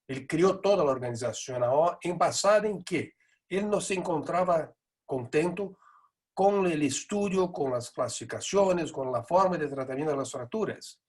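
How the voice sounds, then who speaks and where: A middle-aged man lectures in Spanish with a Portuguese accent that carries a Buenos Aires lilt. His voice is husky and sits a little high for a man. He talks in a measured, explanatory way and often pauses to find his words. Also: expressive. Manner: measured, explanatory, with frequent hesitations. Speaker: neurosurgery lecturer. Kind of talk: conference lecture.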